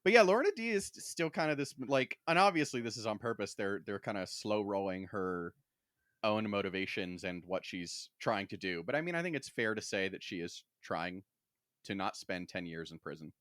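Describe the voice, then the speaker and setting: A man's voice: on the low side, lively intonation, moderate pace, fairly bright tone, no room sound. A man co-hosting a podcast, chatting casually with wry, dry humor. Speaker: podcast co-host. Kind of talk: casual chat, book discussion.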